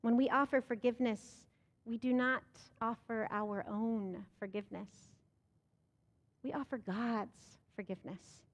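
High-voiced American woman preaching. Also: steady tone, a little slow, in a large hall, dull audio. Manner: rhetorical questions, emphatic rising delivery. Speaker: pastor. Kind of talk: sermon. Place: church sanctuary. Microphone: pulpit microphone.

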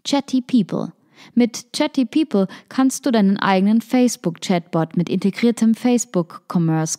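Mellow-voiced woman reading a script. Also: mid-range voice. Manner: reads a written article. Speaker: podcast narrator. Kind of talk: scripted narration.

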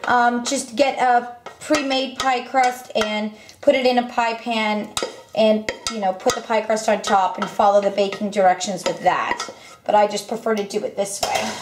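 A young woman casually walking through a home recipe at her stove, talking with lots of ums and quick self-corrections. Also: a little high, steady tone, noisy background. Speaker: cooking vlogger. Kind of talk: casual cooking demonstration. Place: home kitchen. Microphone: camera mic, filming over the stove. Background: clinking pots and utensils.

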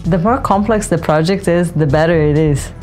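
Brief: low-pitched woman from Brazil, consultant in interview, enthusiastic, music under her. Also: moderate pace, darkish tone. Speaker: senior project manager. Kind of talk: documentary interview.

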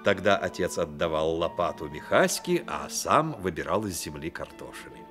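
A man narrating a Soviet radio play as a grave, measured storyteller. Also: low-pitched, expressive, music in the background. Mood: grave, lyrical. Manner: measured, literary delivery. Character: grave male storyteller of a childhood tale.

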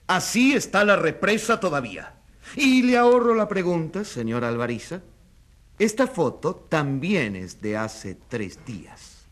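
An actor playing an indignant journalist in a radio drama, accusing with emphatic oratory and rhetorical questions. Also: male voice, fairly high pitch, fairly bright tone, heavily acted. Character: indignant crusading male journalist acting as accuser. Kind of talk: dramatic radio play acting.

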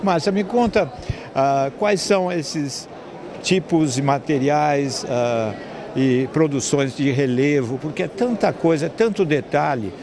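A man's voice: on the high side, takes it slowly. A Brazilian man in his fifties interviewing a guest on location for television. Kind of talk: on-location trade show interview. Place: open stand in a large indoor exhibition hall. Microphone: handheld reporter microphone. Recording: noisy exhibition hall ambience. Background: clear hall noise.

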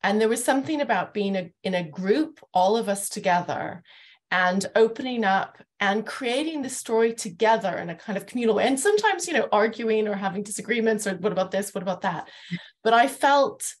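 A middle-aged woman moderating a reflective panel discussion on a video call from home. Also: medium pitch. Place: living room at home, indoors.

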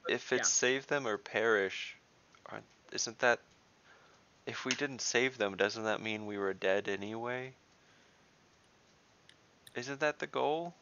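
Slow, clear, lower-voiced man. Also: bright, forceful tone. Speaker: tabletop game player. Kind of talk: casual in-game chat. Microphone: video call. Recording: dull, band-limited video call audio.

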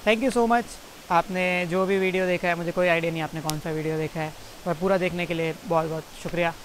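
A man's voice: high-pitched, fairly even intonation, fairly quick, a little hiss or hum. A young Indian man in his twenties, a stand-up comedian rambling awkwardly to camera with self-mocking swearing, recorded outdoors by a jungle stream on a distant camera mic. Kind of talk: rambling outtakes to camera, then stand-up set.

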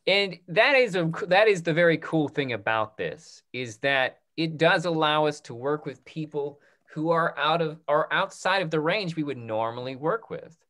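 A man around his thirties moderating a casual question-and-answer session on a video call, restarting phrases in a halting way. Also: a little high, animated, a bit bright. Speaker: moderator of a panel discussion. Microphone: video call computer microphone.

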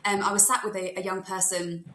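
A woman's voice: medium pitch, level tone, a little fast, pushed and bright. A young adult woman, a youth worker, speaking earnestly and thoughtfully on a video call panel. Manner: earnest, thoughtful, recounts conversations. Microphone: video call webcam. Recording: echoey room with faint noise.

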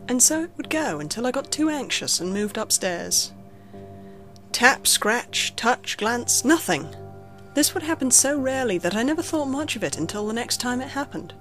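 A woman reading a scripted first-person horror story in a measured, suspenseful storytelling manner. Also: fairly high pitch. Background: background music under the narration.

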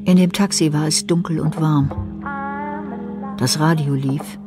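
A woman narrating a dark crime radio play in a composed, scripted style. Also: low-pitched, steady tone, a little slow, loud music behind the voice.

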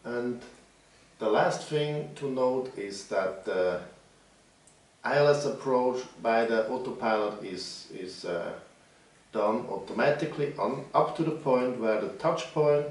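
A man in his forties explains his work in halting. The pace is slow and unscripted. The room rings heavily around his voice, which sits at some distance from the microphone. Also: mid-range voice, animated. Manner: halting, hesitant delivery. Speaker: developer presenting his project. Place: bare, echoey living room. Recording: echoey room, distant mic.

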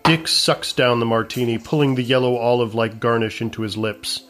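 A man's voice: medium pitch, brisk, fairly bright tone. A man reading scripted narration as the narrator of an audio comedy.

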